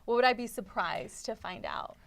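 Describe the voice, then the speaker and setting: A woman's voice: on the high side, lively intonation, normal speed, gravelly. A young woman working as a student reporter, conducting a casual, conversational interview. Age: young adult.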